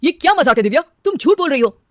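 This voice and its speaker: A woman's voice: high-pitched, very animated, fast, no room sound, clean studio sound. A woman voicing a boastful young husband in a cartoon, a shameless liar who glibly justifies himself. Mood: indignant. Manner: glib, hesitates then brazenly justifies himself. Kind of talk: animated cartoon dialogue.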